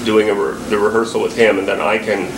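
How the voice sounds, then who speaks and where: A man's voice: on the low side, little pitch movement, fast. A man talking casually and in a businesslike way while organizing a rehearsal. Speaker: radio drama director or narrator. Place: busy indoor rehearsal room. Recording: distant echoey room, very noisy.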